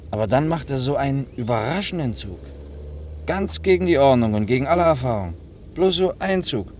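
A man's voice: medium pitch, a little mellow, natural voice. A mid-range male voice , played by an actor, with faint car noise behind it. He is a reasonable working man in a radio drama, explaining things matter-of-factly with a teasing edge. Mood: reflective. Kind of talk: naturalistic German radio play dialogue.

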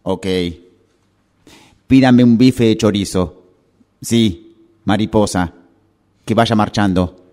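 A man's voice: lowish voice, slow, long pauses, dark tone. A man playing a friendly guy held up in traffic and phoning old friends in a radio drama, with an Argentine Spanish accent. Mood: impatient, friendly. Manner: informal, chummy. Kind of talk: radio drama acting, one side of a phone call.

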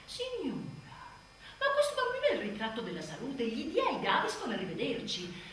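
A woman's voice: high-pitched, wildly swooping pitch, moderate pace, a bit bright, a little hiss or hum, heavily acted. Woman putting on a patronising, echoey private doctor. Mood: patronising, dismissive. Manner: clipped, condescending instructions. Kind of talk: comic theatre monologue. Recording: echoey room, distant mic.

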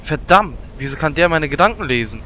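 Vain sneaky gnome warlock, voice swoops around, bit high, comedy fantasy audio drama. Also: male voice, fairly quick, a little hiss or hum. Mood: annoyed. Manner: animated, self-absorbed. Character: Dimitri, vain, scheming male gnome warlock.

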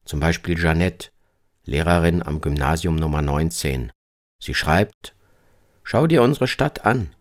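A man's voice: rumbling low, expressive, measured pace. A man narrating a scripted first-person travel report, as a reporter, with music behind his voice. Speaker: reporter narrating own radio travel feature.

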